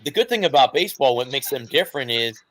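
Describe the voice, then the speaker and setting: A man's voice: medium pitch, animated, quick pace, fairly bright tone, poor audio. A man co-hosting a casual sports talk show, explaining at length and correcting himself as he goes. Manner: rambling, self-correcting explanations. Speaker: co-host.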